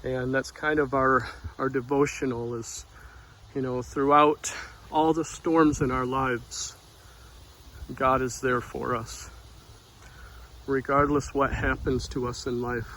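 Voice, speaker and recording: Man, quiet soft voice, slow unhurried delivery, middle pitch, outdoors by a street, faint passing cars. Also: flat delivery, slight background noise, poor audio. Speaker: devotional vlogger. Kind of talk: informal spoken devotional.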